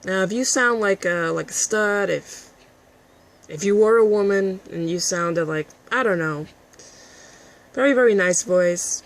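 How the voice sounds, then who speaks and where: Casting: woman, raspy husky voice with gravelly fry, combative profane vlog rant. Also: medium pitch, measured pace. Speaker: vlogger. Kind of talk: addressing a critic to camera.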